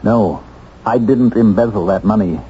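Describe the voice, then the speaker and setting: An actor playing a wronged former bookkeeper, earnest and quietly determined, speaking in a measured way in a 1970s radio drama. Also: male voice, on the low side, a little hiss or hum, lightly acted. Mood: firm, polite. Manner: measured, deliberate, explanatory. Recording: dull 1970s radio broadcast recording.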